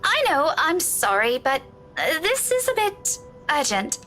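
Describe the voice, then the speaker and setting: A woman's voice: high-pitched, huge pitch swings, unhurried, pushed and bright, soft background music, exaggerated voice. An actress playing a headstrong, irritable young woman in a visual novel, speaking curtly and firmly. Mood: polite, hesitant.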